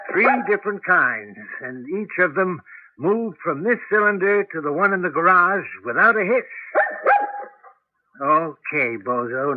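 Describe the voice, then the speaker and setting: Elderly tinkering grandpa for radio drama, high-voiced, folksy, wary and fussy, heard through a tinny old broadcast. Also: male voice. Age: older. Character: cautious, fussy old grandfather, amateur scientist with a dog. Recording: tinny, band-limited 1980s radio broadcast.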